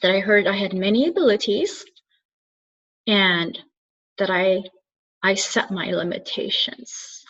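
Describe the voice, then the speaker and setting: A soft-spoken, earnest woman from Turkey shares her thoughts in a halting monologue, often breaking off and restarting mid-sentence. Her voice sits at an ordinary middle pitch. The recording sounds slightly dull and lo-fi. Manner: halting, searching for words, frequent restarts. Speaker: spiritual channeler and soul coach. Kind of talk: reflective solo monologue to camera.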